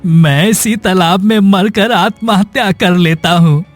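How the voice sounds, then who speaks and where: A man plays a poor, sick hunchback in a cartoon tale. His high voice drags slowly with plaintive despair. The delivery is melodramatic, with faint music underneath. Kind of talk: dramatic Hindi cartoon story dialogue.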